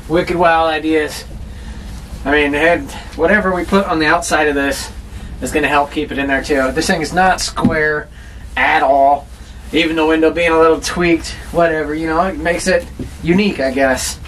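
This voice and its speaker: A man's voice: on the high side, steady tone, moderate pace, a bit bright. A man chatting casually as he works, with a folksy, joking, self-deprecating, slangy way of talking. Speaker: host of a DIY outdoors build vlog. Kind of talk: casual talking to camera while working. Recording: distant mic with clear background noise.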